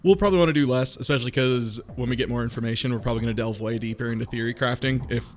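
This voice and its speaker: A man's voice: mid-range voice, racing, a little hiss or hum. A man on a podcast panel, talking casually with the group.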